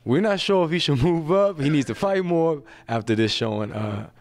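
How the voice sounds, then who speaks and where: A man's voice: on the high side, expressive, a little mellow. Chatty male sports interviewer. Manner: chatty, brisk questions. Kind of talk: post-fight interview. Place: event backdrop at an arena venue, indoors. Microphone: handheld mic.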